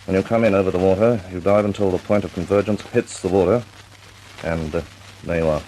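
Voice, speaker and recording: An actor playing an authoritative senior air force officer in an old radio drama, with a dry humor. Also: male voice, low voice, steady tone, brisk, warm tone, a little hiss or hum, natural voice, poor audio. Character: senior RAF air vice marshal, older man. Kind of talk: 1940s radio acting.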